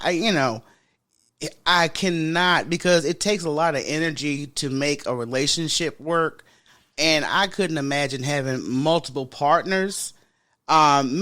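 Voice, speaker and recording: A woman with a deep voice hosting a podcast in casual solo chat, frank and sassy, talking straight to her listeners. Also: fairly bright tone. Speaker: podcast host.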